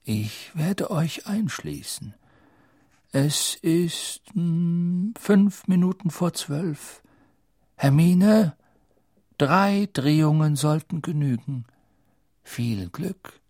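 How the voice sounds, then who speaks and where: A man reading an audiobook, voicing an old, wise wizard headmaster who speaks in a measured, grave and sometimes hushed way. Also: lively intonation, dry recording, studio quality, heavily acted. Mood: hushed urgent. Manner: measured, low, at times hushed.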